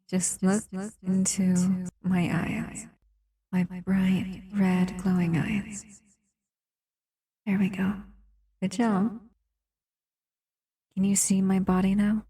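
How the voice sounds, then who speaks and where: A woman's voice: medium pitch, completely flat delivery, extremely slow, pauses often, warm tone, husky, no room sound, heavily acted. A woman voicing a captive female shadow monster who soothes and cuddles the listener in a close, intimate audio roleplay. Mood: tender, soothing. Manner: soothing, intimate, often whispering.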